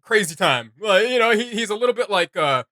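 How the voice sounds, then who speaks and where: A man hosts a reaction video in a fairly high, bright voice, recorded dry and close. He chats casually and excitably, full of slang and jokes. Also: fairly quick, no echo. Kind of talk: casual, joking reaction chat.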